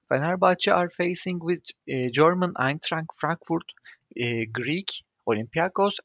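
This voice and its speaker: Turkish-accented man, gravelly voice. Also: on the high side, fairly bright tone, no echo. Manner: careful non-native phrasing with fillers. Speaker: podcast host. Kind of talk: scripted solo podcast.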